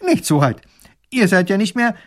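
A man's voice: high voice, animated, moderate pace, voice pushed up. A man voicing a simple, loyal soldier on guard duty, dutiful and deferential, in a told story. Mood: stiff military. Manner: rasping military snap, softening to friendly deference.

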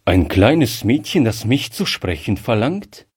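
A man's voice: mid-range voice, very animated, measured pace, a little mellow, husky. A man voicing a suspicious old colonel who speaks doubtfully and gives in grudgingly. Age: older.

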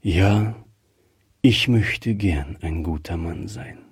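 Man playing a cautious, husky old shopkeeper. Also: mid-range voice, big pitch swings, darkish tone, no echo, studio quality, exaggerated voice. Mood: remorseful, quiet. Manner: measured, hesitant, muttering. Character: grey-headed old male shopkeeper, cautious, guilt-ridden, gruffly kind. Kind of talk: acted storybook dialogue.

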